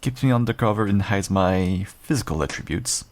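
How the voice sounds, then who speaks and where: A man playing a guarded but kind half-wolf rogue in an intimate fantasy audio roleplay, unhurried and dryly understated. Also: lively intonation, natural voice. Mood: wary, resigned. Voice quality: low, gravelly, husky. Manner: unhurried, dry, understated, self-deprecating.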